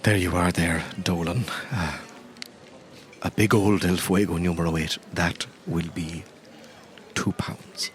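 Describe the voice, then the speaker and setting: A man voicing a grieving, chatty old pub landlady of about ninety in a tabletop game, halting and rambling. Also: low voice, fairly even intonation, pitched below the performer's own voice. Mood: halting, subdued.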